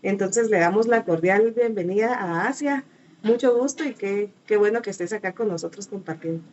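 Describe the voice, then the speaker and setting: A woman's voice: mid-range voice, normal speed, darkish tone, dull audio, a little hiss or hum. Give me a woman host reading a formal introduction. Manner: reading a prepared introduction, polite. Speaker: student interviewer, host.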